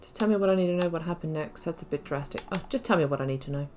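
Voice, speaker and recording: A woman's voice: low voice, rapid-fire, darkish tone. A woman doing casual playthrough commentary, reading dialogue choices aloud and musing to herself. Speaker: gaming YouTuber.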